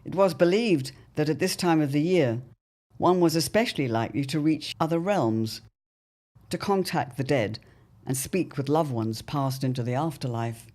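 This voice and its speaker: A narrator giving scripted, measured storytelling narration that opens an audio drama episode. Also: medium pitch, darkish tone. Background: faint music.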